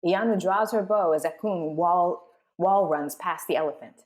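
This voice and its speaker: A woman's voice: lowish voice, normal speed. A woman hosting a live table read and narrating the scripted scenes. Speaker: host and narrator.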